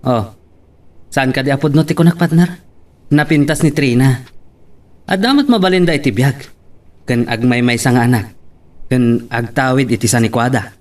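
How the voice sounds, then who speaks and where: A frank buddy voiced by a man in an audio romance drama. His voice sits at an average pitch, and he reasons persuasively, pressing his points with questions. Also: normal speed, long pauses, a little mellow, close to an everyday voice. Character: frank male friend advising his partner in love. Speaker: voice actor in a drama. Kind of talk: Ilocano audio love drama acting.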